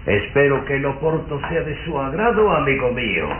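A man's voice: medium pitch, big pitch swings, normal speed, phone-quality sound, close to an everyday voice. An actor playing an elderly, effusive and domineering nobleman father, pompous and sometimes hesitant, in an old radio drama. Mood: hospitable. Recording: thin, noisy old radio recording.

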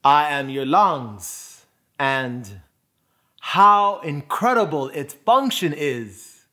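A man delivers a spoken word monologue in a bright, high voice. His phrasing is deliberate and emphatic, with dramatic pauses, and his pitch moves widely from line to line. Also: lively intonation.

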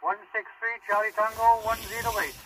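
A man's voice: high-pitched, level tone, a little fast. A man heard over CB radio, checking in casually with other operators. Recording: very noisy, distant CB radio signal.

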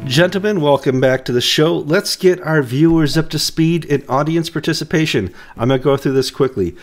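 A middle-aged man hosting a casual news chat over a video call, joking and quick with asides, his voice deep from a cold he is getting over. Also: soft background music. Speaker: host. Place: home room, video call.

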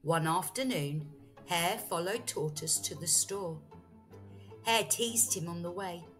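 A woman storyteller reading a children's bedtime story aloud in an expressive, deliberate way. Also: on the low side. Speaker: storyteller, teacher. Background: faint music.

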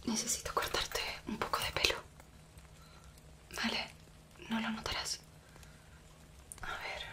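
A young woman from Spain with a Spanish accent, voicing a gentle, protective werewolf woman in an ASMR roleplay, whispering softly and coaxingly into a close mic. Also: close to an everyday voice. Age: young adult. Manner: soft reassuring whisper, slow and coaxing. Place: small indoor room dressed as a cabin.

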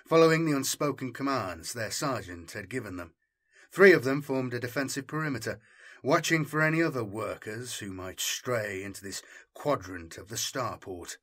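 A man reading a military science fiction story as dramatic scripted narration, in a measured, formal storytelling manner. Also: medium pitch, a bit bright. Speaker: audiobook narrator.

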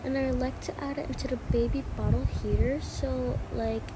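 A woman's voice: high voice, steady tone, moderate pace, noisy background. A woman casually narrating a role-play routine video in an informal, hesitant, chatty way.

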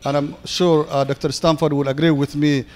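A man in his thirties from Somalia with a Somali accent, giving a halting speech with many fillers at a ceremony, speaking into a handheld mic. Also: a little high, flat delivery, a little mellow. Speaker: board member giving a speech. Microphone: handheld microphone. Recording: echoey hall reverb.

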